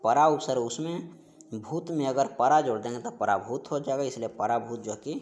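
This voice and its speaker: A man's voice: medium pitch, moderate pace, darkish tone. Man teaching, repeating key terms. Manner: repetitive, instructional, stressing key terms by repeating them. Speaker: Hindi grammar teacher for exam preparation. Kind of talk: explaining quiz questions in an online class.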